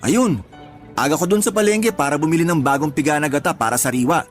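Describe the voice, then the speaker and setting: A put-upon young tricycle driver, acted by a man with a fairly high voice. He is doting yet exasperated, pleading his way through a radio love drama. Light music plays underneath. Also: fast, lightly acted. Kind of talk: Tagalog radio drama acting.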